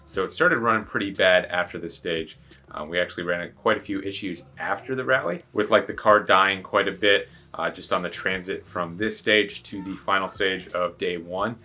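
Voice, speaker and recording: A man with a low-pitched but bright, punchy voice talks casually to his viewers. He rambles along in a chatty way, explaining things like a rally driver hosting his own video. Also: moderate pace.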